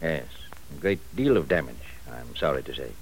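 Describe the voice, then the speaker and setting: A man playing a manipulative professor in an old radio drama, smooth and patronizing. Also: extremely low pitch, expressive, normal speed. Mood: cool. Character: scheming older professor, cool and manipulative. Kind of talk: 1940s radio acting. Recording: faint hiss of an old radio recording.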